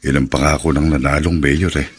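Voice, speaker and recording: A man's voice: a bass voice, level tone, fairly quick, husky. An actor playing a cheerful, doting working-class father with a Filipino accent in a radio drama. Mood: musing.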